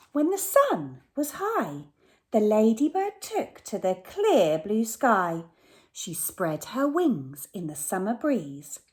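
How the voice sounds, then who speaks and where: A woman teacher reading a picture book aloud to young children, gasping and asking them questions as she goes. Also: mid-range voice. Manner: animated, gasps and asks the children questions. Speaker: primary school teacher.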